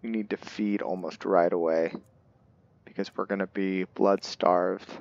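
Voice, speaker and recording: A man giving casual roleplay gameplay commentary, thinking aloud in short, halting phrases as he plays. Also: low voice, steady tone, vocal fry, no room sound, muffled recording. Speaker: gaming video host, player. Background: game music.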